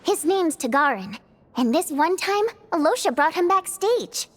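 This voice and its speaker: A woman voicing a lively, spirited young stage performer in a video game, expressive and dramatic, quick to complain. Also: very high voice, exaggerated voice. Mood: chatty, amused.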